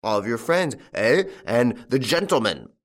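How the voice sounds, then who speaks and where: A man's voice: medium pitch, big pitch swings, unhurried. A man voicing an angry, domineering farmer in an audiobook, with a growling, bullying delivery. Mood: enraged. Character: angry domineering male farmer demanding his stolen money. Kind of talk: audiobook character acting.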